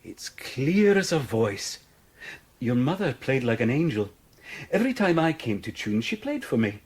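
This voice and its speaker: An actor playing a kindly piano tuner gently coaxing a child in a period audio drama, savouring his words. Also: mid-range voice, sing-song, a little slow, a little hiss or hum.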